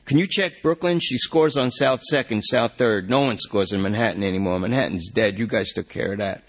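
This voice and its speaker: A man's voice: fairly low pitch, fairly quick. A man doing a whiny, rambling junkie. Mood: pleading. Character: jittery rambling male junkie under arrest.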